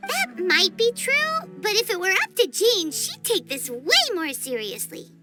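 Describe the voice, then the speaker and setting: A woman voicing a tiny, childlike, cheeky fairy companion in an anime video game, with a squeaky voice. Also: huge pitch swings, measured pace, full character voice. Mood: curious. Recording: game music behind the voice.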